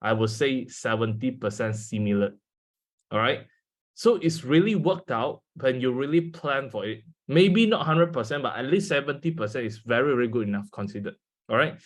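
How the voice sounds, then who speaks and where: Young man, Malaysian English accent, Mandarin native, hesitant delivery, fillers, mid pitch, video call. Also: expressive, normal speed. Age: 20s. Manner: hesitant, many fillers, speaks to an audience. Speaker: guest speaker. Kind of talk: online seminar talk.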